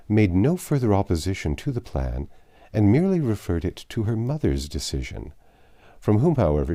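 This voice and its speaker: A man narrating a classic novel aloud, measured storytelling with occasional slips and restarts. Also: low-pitched, warm tone.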